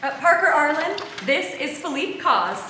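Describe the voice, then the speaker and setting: An actress playing an eager female event organizer who chats brightly and sings in a staged musical. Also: high-pitched, very animated, normal speed, a little hiss or hum, exaggerated voice. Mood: upbeat. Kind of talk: musical theatre singing and dialogue. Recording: roomy live stage with music.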